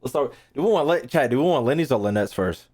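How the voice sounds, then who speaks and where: A man's voice: medium pitch, animated, fast, a little mellow, slightly rough. An American man hosting a livestream in casual chat, bantering brashly with viewers, swearing freely and repeating phrases for emphasis. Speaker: streamer hosting a reaction video.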